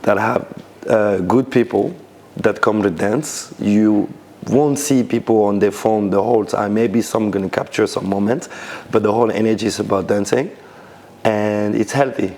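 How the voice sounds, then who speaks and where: Man, low voice, gravelly, rambling restarts, casual interview. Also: moderate pace, a little mellow. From Senegal, raised in France. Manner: loose, searching phrasing with many restarts. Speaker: dancer, DJ and event founder.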